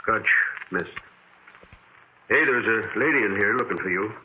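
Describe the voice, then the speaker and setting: An actor playing a chatty, absent-minded bartender in a 1940s radio drama, rambling in offhand slang. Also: male voice, lowish voice, a little slow, natural voice. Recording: tinny, hissy 1940s radio recording.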